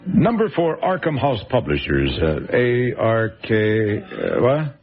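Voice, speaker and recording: An actor playing a brisk, impatient film producer making a phone call in a radio drama. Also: male voice, on the low side, huge pitch swings, soft background music, heavily acted.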